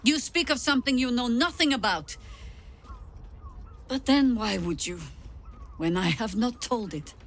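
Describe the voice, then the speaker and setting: An actress playing a stern, weary veteran woman commander in a video game, speaking in a measured, grave and authoritative way. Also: a little high, expressive, a bit bright, heavily acted. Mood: regretful, resolute. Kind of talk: dramatic video game acting.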